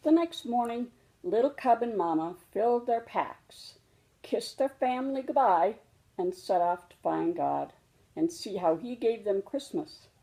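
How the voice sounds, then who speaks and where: A woman reading a children's storybook aloud, expressively and deliberately for young listeners. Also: mid-range voice, expressive, mellow, rough recording. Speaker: storybook reader. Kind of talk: children's read-aloud narration.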